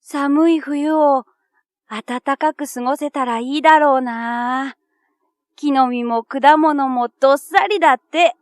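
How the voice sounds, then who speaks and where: Young boy squirrel, played by a woman: high, dry close sound, earnest and dreamy, storybook style over soft music. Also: slow pace, no room sound. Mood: dreamy, wistful. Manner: boyish, wistful. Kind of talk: children's animated storybook dialogue. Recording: children's music behind.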